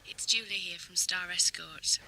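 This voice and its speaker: An actress playing a chatty, businesslike British escort agency receptionist in a radio drama, familiar and coaxing. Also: female voice, on the low side, fairly even intonation, normal speed, creaky, bright, forceful tone, full character voice, poor audio.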